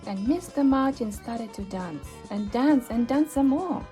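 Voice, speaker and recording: A woman reading a children's story aloud expressively, with dramatic emphasis and pauses. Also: a little high, animated, mellow, faint music behind. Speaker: storybook reader.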